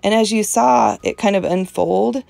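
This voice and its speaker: A woman's voice: medium pitch, normal speed, vocal fry, darkish tone, no room sound. A woman hosting an art journaling video, chatting earnestly and emphatically about faith, with a strong voice and frequent laughs. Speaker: host of an art journaling channel. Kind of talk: casual devotional chat while art journaling.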